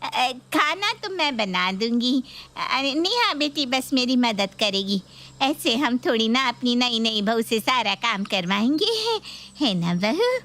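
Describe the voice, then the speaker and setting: A woman's voice: fairly high pitch, big pitch swings, slow, a bit bright, exaggerated voice. A woman playing a middle-aged mother-in-law who is fawning, anxious and nagging, in a dramatised story. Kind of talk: dramatised Hindi story video.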